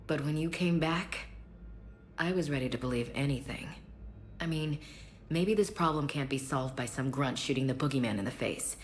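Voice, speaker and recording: A woman's voice: sits low, moderate pace, a little hiss or hum, close to an everyday voice. A woman playing a tough, candid soldier in a video game, plain-spoken with a dry sense of humour. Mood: resolute, wry. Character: tough, candid female soldier, frank and dryly humorous.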